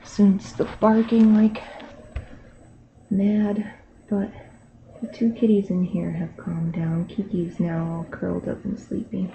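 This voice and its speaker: A woman's voice: mid-range voice, flat delivery, slow pace, vocal fry, pauses often. A woman live-streaming her digital painting from her bedroom, chatting casually and talking to herself as she works. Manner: chatty, laughs often, talks to herself while working. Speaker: digital artist livestreaming. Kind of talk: casual chat while drawing. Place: bedroom at home. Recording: dull lo-fi stream audio with faint hiss.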